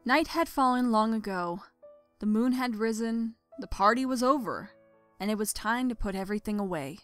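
A woman's voice: on the high side, moderate pace, a bit bright, clean tone, soft background music. A woman reading scripted story narration expressively as the narrator.

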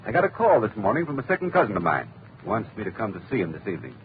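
A man's voice: on the low side, wildly swooping pitch, rapid-fire. A genial, chatty clubman weighed down by debts in an old-time radio drama. His voice rises and falls freely. The recording is tinny, with a faint hiss. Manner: genial, chatty with nervous laughs. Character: middle-aged male clubman in debt, genial and worried. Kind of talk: 1940s radio acting.

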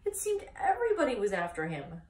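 A woman in her thirties reading a children's book aloud as expressive storytime narration. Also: mid-range voice, a little fast. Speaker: storytime reader. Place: small indoor room, seated by a wall. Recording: echoey room, distant microphone.

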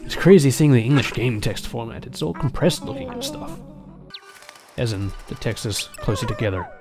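Lively-pitched man narrating a video game. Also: moderate pace. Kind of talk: casual gameplay commentary. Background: game music behind the voice.

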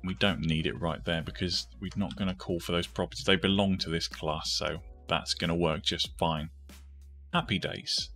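A man's voice: low voice, steady tone, normal speed, fairly bright tone, soft background music. A man hosting a step-by-step coding tutorial, informally thinking aloud as he goes. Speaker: tutorial host.

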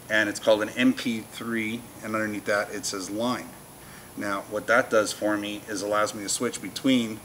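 A man's voice: on the low side, fairly bright tone. A man in his fifties giving a casual, folksy, plain-spoken product walkthrough as a shop demonstrator, in an indoor showroom. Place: store showroom with shelves, indoors. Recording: noisy showroom background hum.

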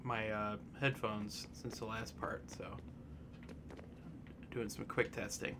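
Guy doing chill slow game commentary, bit of a cough, faint hiss on the mic. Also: little pitch movement. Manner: casual, slow and low-key. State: slight cough. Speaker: gameplay commentator. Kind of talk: sparse let's-play commentary.

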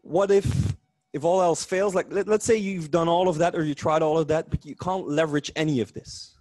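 Dutch-accented man giving a lively tech talk. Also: a little high, normal speed, no room sound. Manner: lively, joking, self-correcting restarts. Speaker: conference speaker. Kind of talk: technical meetup talk. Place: meetup room.

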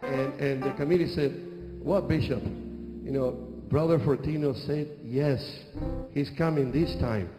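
A middle-aged man with an Argentine Spanish accent preaches from a church stage into a handheld mic, joking and stumbling through restarts. His voice rings with long reverb in a big sanctuary, and music is clearly audible behind him. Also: mid-range voice. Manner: halting, frequent restarts and fillers, humorous storytelling. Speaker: bishop. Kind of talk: sermon. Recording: echoey church hall with music.

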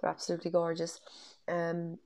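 A woman in her thirties with an Irish accent talks eagerly to camera from her bedroom, her voice a little low and stuffy from a cold. She rambles happily with frequent ums, recorded on a wired earphone mic. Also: completely flat delivery, fairly quick, darkish tone. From Ireland. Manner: chatty and enthusiastic, lots of ums. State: congested from a cold. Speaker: tarot deck reviewer. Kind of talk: casual vlog chat. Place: bedroom at home, indoors.

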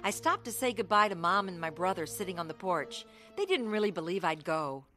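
Radio testimony lead: restless, reckless young woman, bright forceful voice, music underneath. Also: lowish voice, expressive, a little fast. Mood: earnest, regretful. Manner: earnest, confessional storytelling. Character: restless, reckless young woman recalling her wild runaway youth. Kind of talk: dramatised first-person radio testimony.

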